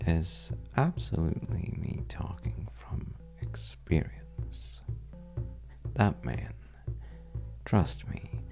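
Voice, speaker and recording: A very low, heavily creaky male voice, a man acting it out, playing a charming troublemaker at a bar in an audio roleplay. He talks slowly and intimately, with wry, teasing pauses, as if spinning a tale for one listener. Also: dark tone, full character voice. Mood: wry amusement. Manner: wry, teasing, hesitant storyteller with dramatic pauses. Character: well-dressed roguish stranger at a bar, wry trouble-seeking charmer.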